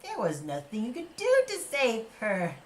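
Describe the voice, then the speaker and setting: A man's voice: extremely high pitch, wildly swooping pitch, voice pushed up, full character voice. A man voicing Grief, a relentless, witch-like old woman with a blunt, insistent way of speaking, in an audio play. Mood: taunting, blunt. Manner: blunt, drawn-out, insistent delivery. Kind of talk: audio play character acting. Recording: echoey, with noise behind.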